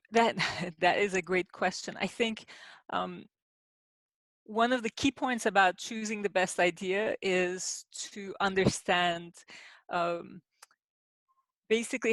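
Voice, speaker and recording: A woman, an industrial designer answering as a podcast guest, explaining her work in a measured, thoughtful way with pauses. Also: medium pitch, a bit bright, no room sound. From Turkey. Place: home in the city, sheltering in place.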